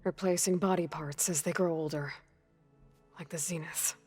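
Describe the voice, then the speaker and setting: A steady, plain-spoken young warrior heroine. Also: female voice, sits low, moderate pace, slightly rough, soft background music. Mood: steady, reflective. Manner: plain-spoken, brief, probing. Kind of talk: video game cutscene dialogue.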